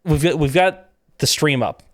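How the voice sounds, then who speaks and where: A man's voice: a little high, moderate pace, no echo, clean studio sound. A man guesting on a podcast, telling event stories in a casual chat and acting out the back-and-forth as he goes. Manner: lively anecdotes, acting out quoted exchanges with laughs.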